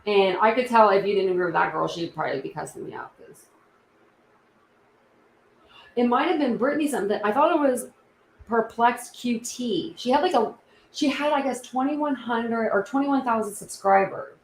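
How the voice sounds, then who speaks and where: A young woman hosts a casual livestream chat, rambling from thought to thought with plenty of fillers and restarts. Her voice carries a gravelly fry. The room sounds echoey, with faint noise underneath. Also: fairly high pitch, fairly quick. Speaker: YouTube true crime channel host.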